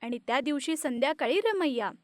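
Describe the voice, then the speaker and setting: A woman narrating an animated moral story in a steady, plain storytelling manner. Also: sits high, a little fast, no echo, clean studio sound.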